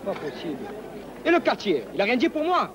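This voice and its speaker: Whiny, blustering young suitor, comic drama, pied-noir accent, quick delivery, faint noise behind. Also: male voice, sits high, wildly swooping pitch, a crowd in the background. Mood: confiding, touchy. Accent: French Algerian pied-noir. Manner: agitated, colloquial, blustering. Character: hot-headed, whining young suitor, blustering and aggrieved.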